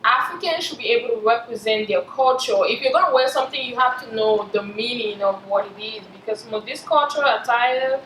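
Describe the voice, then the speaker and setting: A young woman with a Cameroonian accent talks in a relaxed, conversational style. Her voice is high and sits back from the microphone in a very echoey space, with some noise around it. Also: fairly quick, few pauses. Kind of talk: casual chat. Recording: echoey room, distant mic, background noise.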